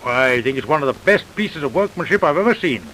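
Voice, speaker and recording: Encouraging parole clerk, friendly, animated, on a hissy vintage radio recording. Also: male voice, on the high side, quick pace, rough recording. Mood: cheerful, encouraging. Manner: genial, reassuring. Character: kindly, encouraging prison parole clerk, genial and reassuring. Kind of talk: vintage radio drama acting.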